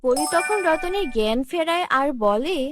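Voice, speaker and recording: A woman's voice: sits high, expressive, measured pace, dry recording, faint music behind. A woman narrating a scripted children's fairy tale as storyteller.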